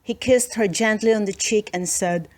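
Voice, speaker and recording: A woman narrating a children's storybook as an expressive read-aloud. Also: medium pitch, normal speed.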